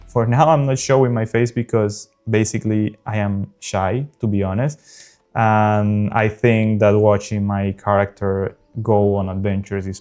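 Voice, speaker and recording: Earnest low-voiced man, Spanish accent. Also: darkish tone. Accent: South American Spanish. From South America. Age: early 30s. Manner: earnest, unpolished, frequent pauses. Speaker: gaming channel host. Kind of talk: casual talk over gameplay.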